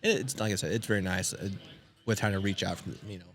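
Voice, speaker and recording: A man with a fairly low voice talks quickly and rambles, full of fillers and restarts. He comes across as an enthusiastic guest in a casual chat. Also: fairly bright tone. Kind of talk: casual interview.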